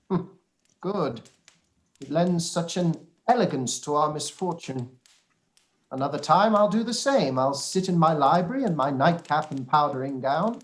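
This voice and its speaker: A man acts an older gentleman father in a radio play, fairly light in pitch for the part. He is weary and wry, delivering his words with dry deliberation. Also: natural voice. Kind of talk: radio play live read.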